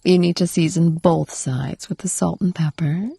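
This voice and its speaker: Girl doing a clingy yandere wife for audio rp, lowish husky whispery voice, super close and dry, teasing and doting. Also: dark tone, no echo, heavily acted. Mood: playful, instructing. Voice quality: breathy, often dropping to a whisper. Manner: coaxing, cooing pet names, unhurried murmuring. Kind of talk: intimate audio roleplay addressed to the listener.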